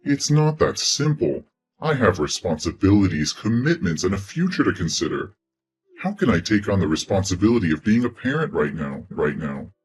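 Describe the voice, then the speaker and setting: A man's voice: low voice, sing-song, moderate pace, faint music behind. An actor in his twenties playing a selfish, career-focused young man who speaks in a defensive, dismissive way. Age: 20s. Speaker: reluctant boyfriend. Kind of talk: scripted relationship drama.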